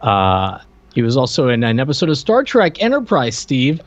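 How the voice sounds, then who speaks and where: A man's voice: medium pitch, wildly swooping pitch, normal speed, no room sound. A man with a mid-range voice chats casually as a podcast co-host. He rambles and halts, feeling his way through each thought. The audio is somewhat dull and lo-fi. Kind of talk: casual chat about cartoons and cast lists.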